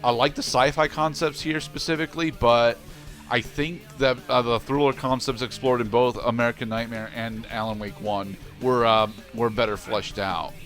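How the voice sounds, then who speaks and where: A man co-commentating on a game in a measured, hesitant manner, often pausing to find his words. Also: medium pitch, a bit bright. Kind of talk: casual game commentary chat.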